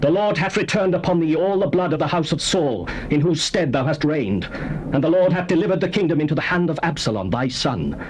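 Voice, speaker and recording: An actor playing a bitter accuser in a biblical drama, cursing in drawn-out, taunting declamation on an old tape. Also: male voice, on the high side, lively intonation, lightly acted. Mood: scornful, cursing. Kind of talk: dramatized scripture, theatrical. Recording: faintly noisy old tape.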